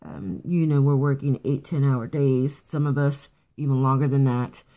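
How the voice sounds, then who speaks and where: A woman with a raspy morning voice casually talking through a quick makeup routine, rambling a bit with fillers and restarts. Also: very deep voice, fairly even intonation, mellow. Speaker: beauty vlogger. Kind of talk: casual makeup tutorial chat. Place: at home getting ready for work.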